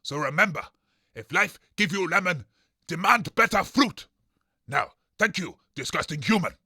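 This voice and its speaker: A man voicing a dim, proud green monster head in an audio comedy, speaking halting, pompous broken English in a raspy voice. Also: high voice, sing-song, bright, forceful tone, dry recording, studio quality, full character voice. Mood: pompous, blunt. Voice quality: gravelly, raspy. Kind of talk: audio comedy character acting.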